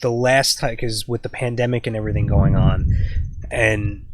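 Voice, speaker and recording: A man's voice: fairly low pitch, steady tone, normal speed, a little hiss or hum. A man interviewing his grandfather in a casual family chat, asking loose, curious questions full of fillers.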